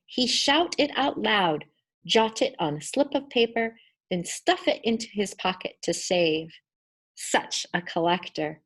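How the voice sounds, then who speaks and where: Slow, expressive woman reading a storybook. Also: mid-range voice. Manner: deliberate, dramatic emphasis on words. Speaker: children's story reader.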